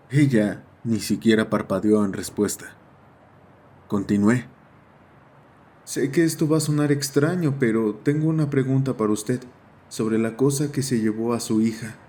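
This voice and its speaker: A man playing a schoolboy who narrates his own horror story in a measured, serious first-person style. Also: medium pitch, mellow, slightly rough. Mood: earnest, careful.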